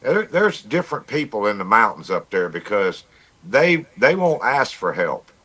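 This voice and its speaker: A man's voice: fairly low pitch, sing-song, normal speed, sharp tone. A plainspoken man with a folksy manner, telling stories casually in a livestream chat and repeating himself as he goes. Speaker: amateur radio operator, livestream guest.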